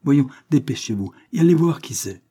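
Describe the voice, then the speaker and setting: A cantankerous old spinster, as a male reader puts her on, with a raspy voice pushed well above his own and a sharp, scolding bark. She orders people about loudly and imperiously. The tone underneath stays dark and husky. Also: mid-range voice, expressive, moderate pace, voice pushed up. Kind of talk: audiobook character voice.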